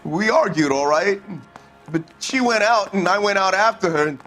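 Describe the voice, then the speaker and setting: A high-pitched, defensive murder suspect. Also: male voice, animated, normal speed, a bit bright, faint music behind. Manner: defensive, pleading. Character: defensive husband, a murder suspect under questioning.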